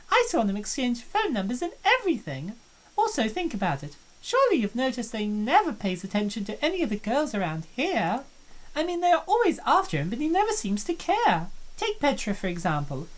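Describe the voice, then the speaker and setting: A woman's voice: fairly high pitch, huge pitch swings, fairly quick, low-quality recording. A woman voicing a confident, teasing plump college student in a fan-voiced visual novel. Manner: self-assured, teasing.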